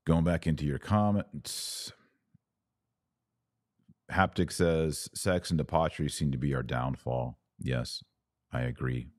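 Male religious podcast host, extremely low voice, emphatic and earnest delivery, very dry sound. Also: fairly even intonation, slow, pauses often, a little mellow, no room sound. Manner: earnest, emphatic, repeats phrases for stress. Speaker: podcast host answering live viewer comments. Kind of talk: live religious commentary.

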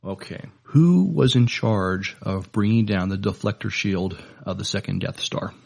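A man with a gravelly, husky voice co-hosts a casual podcast. His delivery is measured and a little halting. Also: fairly low pitch, a little mellow. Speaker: podcast co-host.

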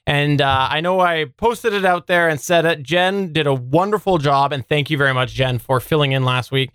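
A man's voice: a little high, normal speed, fairly bright tone, smooth, dry recording. A man co-hosting a podcast in casual, effusive talk, repeating himself for emphasis. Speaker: podcast co-host.

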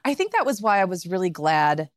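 Woman cohost, lowish voice, laid back and optimistic, says like a lot, bit of a leftover cough, dry close mic. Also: big pitch swings, a little fast, no echo. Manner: easygoing, optimistic, says "like" often. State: lingering cough after flu. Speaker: podcast co-host. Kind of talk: casual conversational chat.

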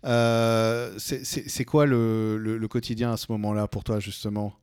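A man's voice: lowish voice, level tone, normal speed, darkish tone, clear, resonant tone, dry recording, clean studio sound. A man hosting a casual morning radio interview, feeling his way into questions with repetitions and rephrasings. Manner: hesitant, repeats and rephrases as he builds questions. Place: indoors, radio studio.

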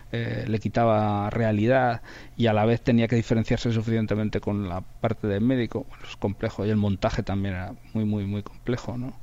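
A man's voice: on the low side, darkish tone, dull audio. A man with an accent from Spain, talking reflectively in an interview as a radio drama director, often repeating words for emphasis. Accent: Spanish from Spain.

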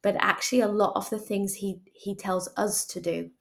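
A woman's voice: medium pitch, fairly even intonation, moderate pace, creaky. A woman guest speaking earnestly about faith and healing, often restarting phrases as she thinks aloud. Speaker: guest, charity chief executive. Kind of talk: reflective faith conversation.

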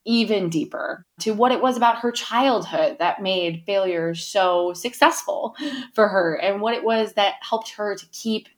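A woman's voice: mid-range voice, a little slow. A woman hosting a podcast, giving an upbeat, chatty introduction and interviewing a guest, with many small fillers. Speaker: podcast host. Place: my town.

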